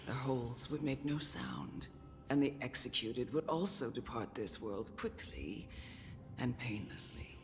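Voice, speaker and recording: An actor playing a grave, wise guardian of death who counsels a child in a measured, solemn video game style. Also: mid-range voice, close to an everyday voice. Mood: grave storytelling. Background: music.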